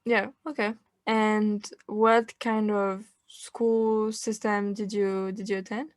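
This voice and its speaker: A woman hosting a podcast interview, asking questions at a steady pace and encouraging her guest with frequent backchannels. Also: medium pitch, takes it slowly, no room sound, clean studio sound. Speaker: podcast host.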